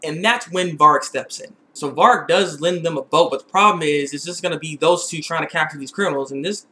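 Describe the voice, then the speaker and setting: High-pitched, bright-voiced young man. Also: brisk. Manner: excitable, animated, repeats phrases for emphasis. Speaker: TV episode reviewer. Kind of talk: casual video recap and review.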